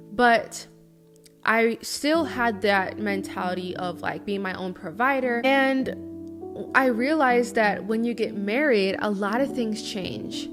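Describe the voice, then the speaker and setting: A woman in her early twenties, talking to camera in a chatty, earnest vlog, often saying "you know". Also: medium pitch. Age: early 20s. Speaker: lifestyle vlogger. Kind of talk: casual confessional talk to camera. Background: guitar music underneath.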